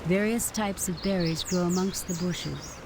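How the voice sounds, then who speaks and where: A woman's voice: fairly low pitch, a little slow, noisy background. A woman playing an impersonal game narrator, reading scripted descriptions and menu prompts. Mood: neutral, informative.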